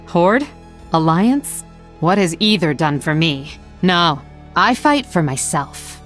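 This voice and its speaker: An actress voicing a proud, sardonic blood elf rogue for a video game, with a haughty, cutting manner. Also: female voice, lowish voice, expressive, takes it slowly, fairly bright tone, faint music behind. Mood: cool. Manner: haughty, cutting, dry teasing. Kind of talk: video game hero voice lines.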